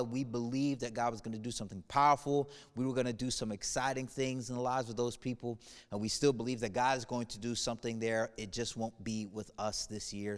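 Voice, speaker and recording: A man in his thirties from the United States, giving an earnest video message to a congregation, moving between playful humor and somber reflection. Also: medium pitch. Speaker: church speaker. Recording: reverberant room.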